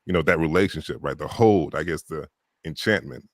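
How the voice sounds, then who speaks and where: Deep-bass male narrator as live-chat guest, relaxed and rambling, dry close recording. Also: brisk, dry recording. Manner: loose, rambling, peppered with "you know" and "right".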